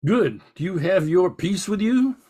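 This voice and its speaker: Male actor as pompous, fussy rich uncle, high-pitched voice, old-fashioned radio-play delivery. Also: animated, measured pace, dark tone, breathy edge, exaggerated voice. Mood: brisk. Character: stuffy, indignant old-fashioned wealthy uncle. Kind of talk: old-time radio drama acting.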